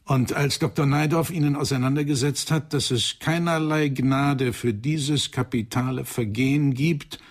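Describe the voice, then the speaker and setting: An actor playing a stern male psychoanalyst in a German radio crime drama, speaking in a measured, formal, accusing manner. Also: medium pitch, steady tone, natural voice. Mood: cold, accusing. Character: stern male psychoanalyst coldly confronting a murderer.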